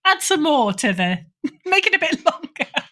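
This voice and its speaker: A woman's voice: high-pitched, very animated, unhurried, sharp tone. A woman speaking as an editor guest in a casual interview, emphatic and opinionated in a conversational way. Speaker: podcast guest, fiction editor.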